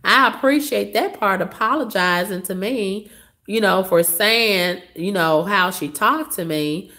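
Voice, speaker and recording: Hoarse woman venting bluntly. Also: mid-range voice, measured pace, bright, forceful tone. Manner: blunt, profane, dismissive. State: hoarse, coughing. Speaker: vlogger. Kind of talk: casual storytelling to viewers.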